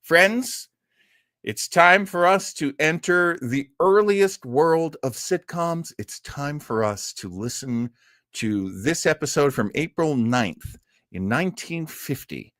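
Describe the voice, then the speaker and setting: A man hosting an old-time radio program, introducing it in a dramatic, measured showman manner. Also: a little high, lively intonation, fairly bright tone, dry recording, clean studio sound. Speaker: host of old-time radio show stream. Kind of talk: theatrical episode introduction.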